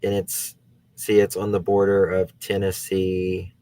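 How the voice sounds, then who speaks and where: A man's voice: low-pitched, completely flat delivery, measured pace, mellow, smooth, low-quality recording. A man hosting a true crime channel, thinking aloud in casual talk as he looks things up during a case discussion. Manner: thinking aloud while searching online.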